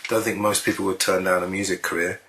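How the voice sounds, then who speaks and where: A man interviewing a songwriter, chatty and encouraging, agreeing quickly and asking loose questions. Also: deep voice, flat delivery, noisy background.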